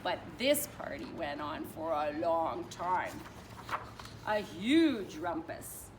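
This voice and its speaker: A woman reading a picture book aloud like a teacher, expressive, stretching and stressing her phrases for children. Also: on the low side. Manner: expressive, drawn-out phrasing with emphasis. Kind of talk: animated children's storytelling. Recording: distant mic with clear background noise.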